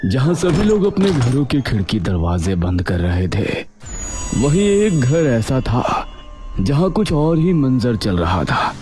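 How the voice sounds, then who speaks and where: A man's voice: medium pitch, very animated, slow, a little mellow, soft background music. A man narrating a scripted horror story as the storyteller.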